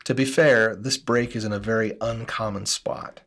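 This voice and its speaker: A middle-aged man hosting a bike repair video, walking through a frame inspection in a measured, careful way in his workshop. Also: lowish voice, steady tone, a bit bright. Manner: measured, cautious explainer. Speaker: host. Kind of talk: explanatory bike repair walkthrough.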